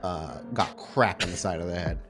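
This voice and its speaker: A man's voice: sits low, moderate pace. A man improvising narration as the storyteller of a tabletop roleplaying game. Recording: music bed under voice.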